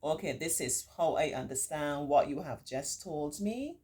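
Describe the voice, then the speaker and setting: Middle-aged female storytime tutor, deep voice, reassuring and encouraging, stretches key words while reading and explaining to children and parents. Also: lively intonation, unhurried, dark tone. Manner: encouraging, reassuring, repeats points for emphasis. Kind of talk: read-aloud story with warm, informal teaching asides.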